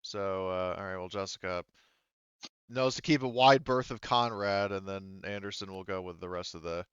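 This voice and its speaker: A man acting as game master, casually narrating a tabletop game with halting, self-interrupting phrasing. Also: low-pitched, fairly even intonation, fairly bright tone, clean tone, no echo, lo-fi sound.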